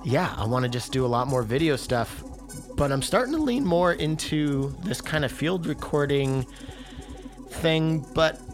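A man's voice: fairly high pitch, expressive, a little slow, over music. A man in his thirties hosting a podcast, talking casually with many fillers and restarts, then interviewing a guest. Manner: rambling, filler-heavy, enthusiastic. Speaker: podcast host.

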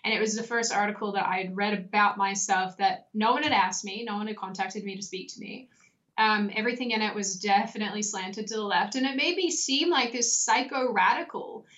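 A woman's voice: medium pitch, a little fast, fairly bright tone. Chatty young Australian woman, slightly lo-fi. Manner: chatty storyteller, frequent likes and ums. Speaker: interview guest. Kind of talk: casual interview chat. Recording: slightly dull lo-fi audio.

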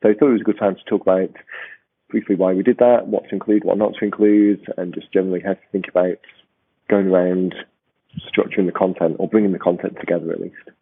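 Croaky low-voiced man on a tinny line. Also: fairly even intonation, fast talker. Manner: rambling, restarts often. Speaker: podcast host. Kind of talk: casual podcast chat.

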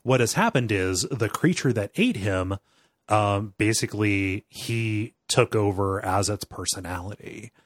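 Male co-host, lower register, casual conversational film talk, dry studio-like sound. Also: measured pace, dry recording. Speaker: podcast co-host. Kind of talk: casual film discussion with retelling.

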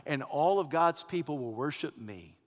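A preacher lowering his voice to play God, a calm, commanding divine presence speaking slowly and quietly. The low, hushed words trail into a long reverb. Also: lightly acted. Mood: reassuring. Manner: slow, hushed, solemn pronouncements.

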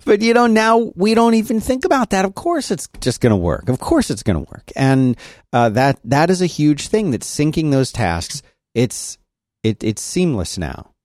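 A man's voice: a little high, very animated, moderate pace, darkish tone, dry recording, clean studio sound. A man co-hosting a podcast, chatting casually in an agreeable, emphatic way. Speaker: podcast co-host. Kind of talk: casual chat.

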